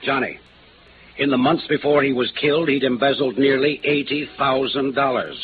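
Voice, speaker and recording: A man playing a grave, troubled older American insurance executive, set in his ways, in a 1950s radio drama, with measured, halting delivery. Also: mid-range voice, natural voice. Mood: grim, resigned. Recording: noisy, phone-like old radio recording.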